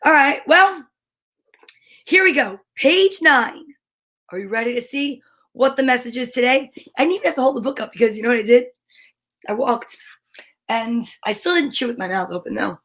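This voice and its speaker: A woman hosting an online story time, speaking in a playful, expressive way as if chatting casually with viewers. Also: fairly high pitch, moderate pace, pauses often. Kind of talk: casual livestream chat with short read-aloud passages.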